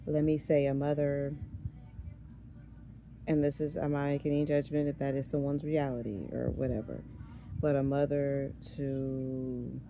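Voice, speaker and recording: A hoarse woman in her thirties talks casually to camera, her voice gravelly and dark with a raspy edge. She wanders through her thoughts, trails off and restarts. A faint hiss sits under the recording. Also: a bass voice, poor audio. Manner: rambling, loses her train of thought. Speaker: vlogger. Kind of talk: casual chat.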